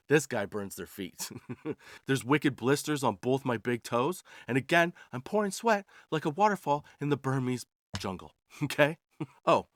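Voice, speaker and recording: A man's voice: mid-range voice, dry recording, clean studio sound. A man in his forties hosting a podcast, telling personal stories in a wry, slangy, self-deprecating comedic style. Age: 40s. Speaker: podcast host. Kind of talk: comedic personal storytelling monologue.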